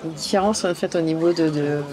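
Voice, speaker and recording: A woman's voice: deep voice, steady tone, a little fast, music in the background. A woman from France answering questions in a street interview outdoors at a summer event, hesitant, with many fillers and restarts. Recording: outdoor event with crowd noise. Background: crowd chatter.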